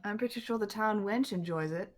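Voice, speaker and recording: A woman's voice: medium pitch, a little fast, soft background music. A woman chatting casually and playfully as a game livestream player, happy to swear. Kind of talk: casual chat.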